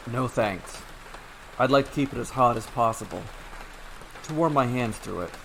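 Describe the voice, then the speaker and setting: A man reads a calm, weary wanderer who speaks low and quiet, never rushing. The tone is measured and steady, with a faint hiss of rain noise behind it. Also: creaky, low-quality recording. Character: calm weary male traveller, cursed wanderer.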